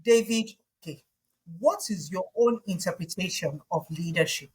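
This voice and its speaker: A woman with a Nigerian accent hosting an interview, speaking in a deliberate, emphatic way. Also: fairly low pitch, a little mellow, a little hiss or hum. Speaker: host, interviewer.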